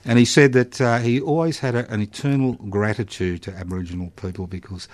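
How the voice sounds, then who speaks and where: A man's voice: medium pitch, fairly even intonation, brisk, no echo. An Australian man conducting a casual conversational interview, encouraging his guest with short agreements and questions.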